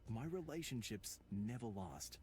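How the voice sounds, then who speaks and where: A man's voice: on the low side, big pitch swings, unhurried, a little mellow. A man acting a troubled, self-doubting, earnest young man in a visual novel, with faint ambience behind him. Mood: troubled, confiding. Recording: faint background noise and ambience.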